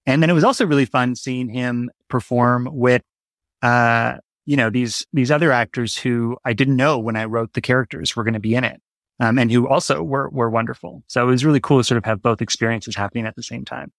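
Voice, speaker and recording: A man's voice: medium pitch, fairly even intonation, a little fast, creaky, a bit bright, dry recording. A man answering interview questions as a writer, explaining his process step by step in a reflective, conversational way. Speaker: interview guest, audio drama writer.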